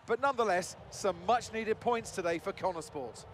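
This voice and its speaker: An actor playing an engaged TV motorsport pundit in a game, polished and emphatic. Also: male voice, sits high, moderate pace, a bit bright. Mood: intrigued. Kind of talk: broadcast punditry in game cutscene. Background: music.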